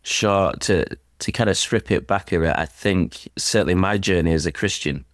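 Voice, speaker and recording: A man's voice: sits low, moderate pace, dry recording, clean studio sound. A man answering as an interview guest, speaking in an earnest, reflective way. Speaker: sports chaplain, podcast guest.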